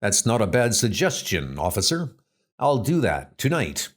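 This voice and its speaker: A man's voice: fairly low pitch, big pitch swings, a little slow. A man voicing an earnest, resolute adult archer superhero with a grave manner. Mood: calm.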